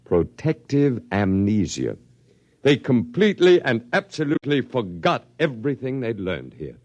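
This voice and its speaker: An actor playing a calm, coolly reasonable elderly alien archivist in a 1950s radio drama. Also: male voice, medium pitch, normal speed, no echo, natural voice.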